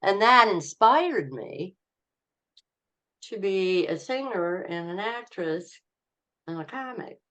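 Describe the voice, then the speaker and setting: An older woman, a comedian, telling stories from her life in a rambling, halting way as an interview guest, laughing often. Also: mid-range voice, lively intonation, a bit bright. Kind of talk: casual interview storytelling.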